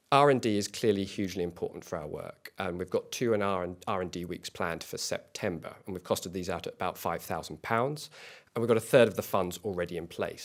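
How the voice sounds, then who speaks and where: A man co-founding a small company, giving a competition pitch in an earnest way and often restarting and repeating words. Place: event hall.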